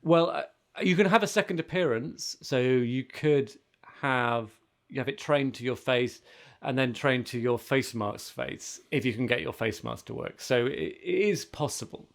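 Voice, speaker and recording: Creaky-voiced man in casual tech chat. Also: mid-range voice, moderate pace. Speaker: podcast co-host. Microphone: remote call setup. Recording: remote video call.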